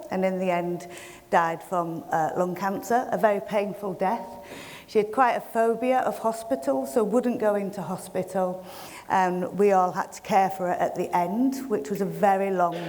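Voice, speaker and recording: A woman's voice: on the low side, a little mellow. A middle-aged woman with a Yorkshire accent gives a frank, plain-spoken welcome speech to a live audience at an event, with wry self-deprecating humour. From Yorkshire, England. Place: event hall. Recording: long hall reverb from a venue PA.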